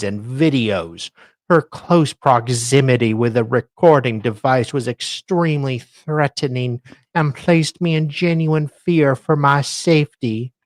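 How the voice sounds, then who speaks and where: A man's voice: medium pitch, no echo. A man co-hosting a show, reading legal filings dramatically with sardonic, mock-theatrical asides. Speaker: co-host doing a dramatic reading.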